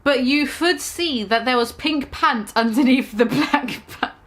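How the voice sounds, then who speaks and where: A woman's voice: sits high, a little slow, a bit bright. A woman giving a mock-dramatic reading of a fan story, amused and full of sarcastic asides. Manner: amused, keeps breaking into laughter, sarcastic asides.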